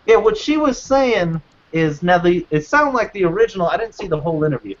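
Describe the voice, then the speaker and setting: A man co-hosts a casual podcast, swearing often and wandering through his points with restarts and fillers. His voice sits high for a man. The recording is dull and lo-fi. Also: animated, fairly quick. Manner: profane, rambling, with restarts and fillers. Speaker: podcast co-host. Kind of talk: casual chat.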